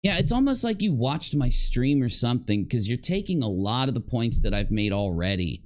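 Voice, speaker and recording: A man's voice: mid-range voice, sing-song, normal speed. A man giving unscripted reaction commentary as a streamer, arguing and accusing as he goes. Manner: argumentative, accusatory. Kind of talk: unscripted reaction commentary over another person's video.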